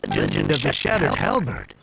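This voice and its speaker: Gravelly, theatrical old grouch. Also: male voice, medium pitch, wildly swooping pitch, normal speed, very noisy, exaggerated voice. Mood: reverent, wistful. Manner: theatrical, ranting, grumbling. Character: grouchy older male book collector, dramatic and embittered. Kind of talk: video game voice acting.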